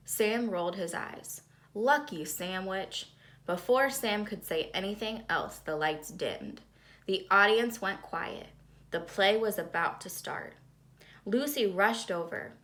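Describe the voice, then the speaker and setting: Bright-voiced woman reading a suspenseful children's story. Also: mid-range voice, animated, measured pace. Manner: dramatic, suspenseful delivery. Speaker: storybook reader. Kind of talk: expressive children's read-aloud narration.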